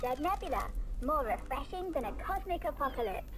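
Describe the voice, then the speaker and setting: A woman's voice: high-pitched, big pitch swings, fairly quick, fairly bright tone. A woman voicing a polite corporate vending machine in a video game, with scripted promotional lines. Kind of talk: scripted advertising announcement.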